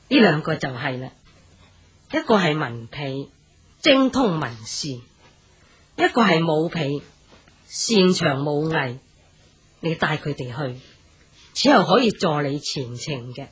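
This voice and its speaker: A dignified, generous old noblewoman in a Cantonese period radio drama with a Hong Kong accent. Her voice is low and very husky, her manner gently commanding. The recording is slightly dull. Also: full character voice. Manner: stately, formal, gently commanding.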